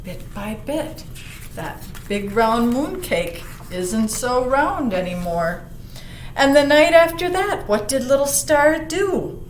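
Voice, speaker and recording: A woman reading a picture book aloud to children, expressive, putting questions to her young listeners and dropping into hushed asides. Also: high-pitched, takes it slowly. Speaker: children's library storyteller. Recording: roomy echo, some background noise.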